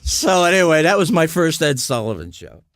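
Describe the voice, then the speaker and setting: A man's voice: on the high side, sing-song, normal speed, background noise. An older man from the US Midwest, a guest musician telling long anecdotes with many restarts. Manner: rambling anecdotes, frequent restarts. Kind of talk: storytelling in conversation.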